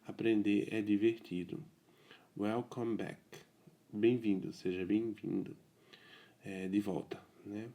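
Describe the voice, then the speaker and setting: Male tutor, dark gravelly tone, easygoing explainer who keeps checking in. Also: fairly low pitch, slow pace. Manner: relaxed explaining, checks in with "né?" and "tá certo?". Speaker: self-taught English tutor. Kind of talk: casual video lesson.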